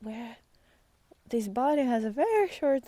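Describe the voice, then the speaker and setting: A woman speaking off the cuff and reflectively on a walk outdoors, musing and halting, often trailing into breathy near-whispers. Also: on the high side, sing-song, warm tone, no room sound. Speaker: spiritual vlogger and guide. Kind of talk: unscripted reflective talk while walking in nature.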